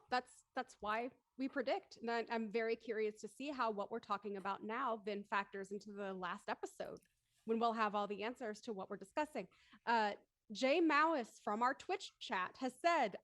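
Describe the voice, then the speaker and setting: A woman hosting a live-stream panel discussion, feeling her way through questions with halting, circling phrasing. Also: fairly high pitch, fairly bright tone. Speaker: host, moderator.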